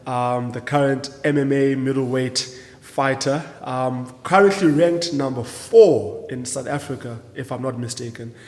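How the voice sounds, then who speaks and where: Male podcast host, medium pitch, informal chatty phrasing, frequent "you know", long hall reverb. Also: measured pace, darkish tone. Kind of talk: casual interview chat.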